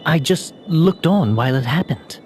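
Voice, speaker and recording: An actor playing a troubled, earnest young man in a video game, with a halting, dramatic delivery. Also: on the high side, expressive, a little hiss or hum, natural voice. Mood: haunted. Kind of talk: English video game voice acting.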